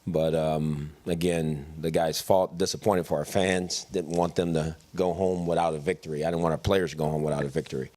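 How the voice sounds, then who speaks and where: A man speaking as a football head coach after a game, in a halting, measured, subdued way. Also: a bass voice, little pitch movement, darkish tone. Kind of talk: post-game press remarks.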